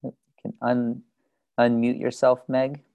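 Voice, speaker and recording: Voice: mid-range voice, little pitch movement, takes it slowly, vocal fry, warm tone. A host patiently guiding people through introductions on a video call in a casual, instructive way. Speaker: host of an online group call.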